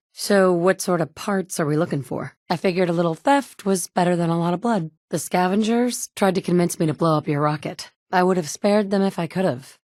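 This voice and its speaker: A woman's voice: fairly low pitch, darkish tone, no room sound, clean studio sound. An actress voicing a tough, wry woman survivor in a video game, blunt and sarcastic. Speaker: player character.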